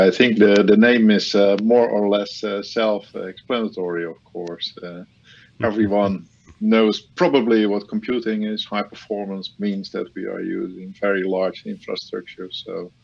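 A man heading a supercomputing department, giving measured, halting technical explanations in an interview. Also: low voice, creaky, husky, highs cut off.

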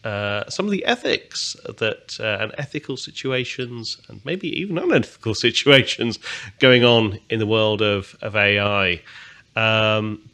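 A man's voice: medium pitch, fairly bright tone. A man hosts a podcast interview, hesitant and filled with uhs as he introduces his guest. He repeats words as he finds his way into each question. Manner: hesitant, many uhs, friendly. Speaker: podcast host. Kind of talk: casual interview talk.

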